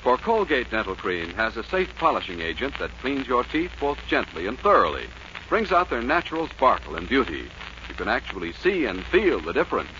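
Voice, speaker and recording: A male 1940s radio pitchman with a bright, forceful voice that swings widely as he sells. The old recording is dull with faint hiss. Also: very animated, a little fast. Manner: emphatic sales delivery. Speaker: commercial announcer. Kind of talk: 1940s radio advertising read, scripted.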